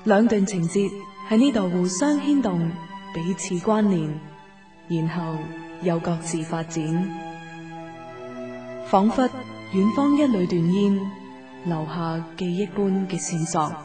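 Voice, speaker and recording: A woman's voice: lowish voice, slow, long pauses. A woman narrating a love story as a poetic, scripted radio drama introduction in Hong Kong Cantonese. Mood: lyrical. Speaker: opening narrator. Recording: distant voice under prominent music. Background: new-age music.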